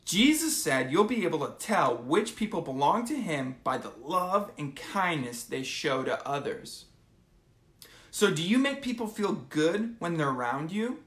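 A man in his twenties reads aloud to children in a casual, unhurried way. His voice is bright and swings up and down expressively as he talks to the kids. It sounds like a home recording made in a small room. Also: on the high side. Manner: animated, informal, addressing children. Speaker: host of children's storytime. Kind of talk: casual live storytime, reading aloud to kids. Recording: roomy home phone recording.

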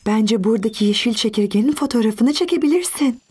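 A woman voicing a young boy's kind mother in a children's cartoon dub, gently instructive. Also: fairly high pitch, expressive, quick pace, music in the background, close to an everyday voice. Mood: encouraging.

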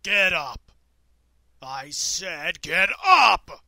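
An actor playing a drunken, abusive, brutish father in a comic dub, with a harsh gravelly voice. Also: high-pitched, animated, drawn-out words, bright, forceful tone, no room sound, full character voice. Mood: drunken rage. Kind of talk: amateur comic dub acting.

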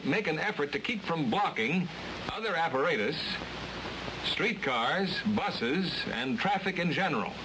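A hissy, noisy old film soundtrack carries an American man narrating a vintage training film. His voice sits a little high and moves with lively intonation. He reads his script in a measured, instructional way, with every word clearly enunciated. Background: loud hiss.

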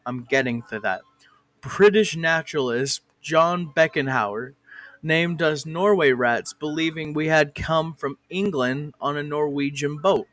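Slow, mock-educational parody narrator. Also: male voice, fairly high pitch, a bit bright, no room sound. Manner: mock-educational, swears and breaks off to argue with a director. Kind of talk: comic fan parody narration.

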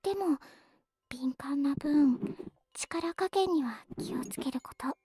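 A woman playing a gentle, doting older sister in an anime ASMR roleplay, speaking softly and breathily, often in a whisper. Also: high voice, takes it slowly, noisy background. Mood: soothing, doting.

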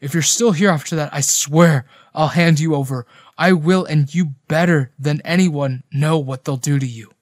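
Guy doing a young army nurse in an audio story, bereaved, forceful, voice pitched up, dry sound. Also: normal speed, hardly any pauses, no echo, close to an everyday voice. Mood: furious, anguished. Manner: forceful, emphatic, accusing.

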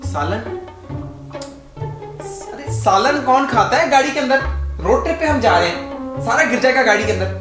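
A man's voice: very high voice, lively intonation, moderate pace, long pauses, echoey room, over music. A man playing a bossy blustering desi dad. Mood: scolding. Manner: scolding, blustering, threatening slaps. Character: bossy middle-aged male immigrant dad, stubborn know-it-all. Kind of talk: comedy sketch.

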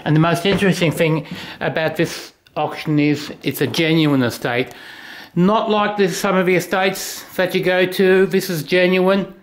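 A man's voice: high voice, steady tone, moderate pace. Enthusiastic older Australian man, echoey house. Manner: enthusiastic, rambling, self-joking. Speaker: auctioneer. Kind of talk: casual walkthrough chat. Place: large house, indoors. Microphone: handheld phone camera. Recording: echoey rooms, faint noise.

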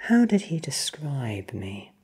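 A performer voicing an ancient, powerful vampire who speaks with cold, deliberate menace. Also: medium pitch, sing-song, darkish tone, slightly rough, pitched above the performer's own voice, exaggerated voice. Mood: cold interrogation.

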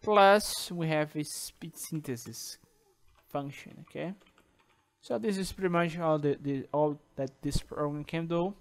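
Male tutor, native Portuguese speaker, casual halting delivery with frequent "okay?", mid-high voice. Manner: halting, many restarts and "uh", tags sentences with "okay?". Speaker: programming tutor. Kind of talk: casual screen-recorded coding tutorial.

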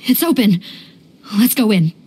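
A woman's voice: mid-range voice, very animated, slow pace, noisy background. A woman voicing a serious, commanding female police captain in a video game. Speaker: game character voice. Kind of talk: scripted video game dialogue. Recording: music behind the voice.

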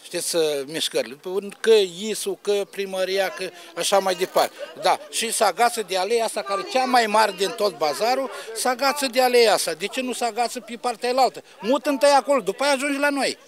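A man's voice: sits high, few pauses. A man in his fifties, a market trader airing complaints in a street interview on a handheld microphone. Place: market stall in open air. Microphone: handheld reporter microphone.

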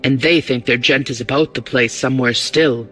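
A man's voice: mid-range voice, fairly even intonation, moderate pace, pushed and bright, soft background music, lightly acted. A man voicing a glib, eager porter in an audiobook, with a working-class London accent and a confiding, deferential manner. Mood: gossipy, excited.

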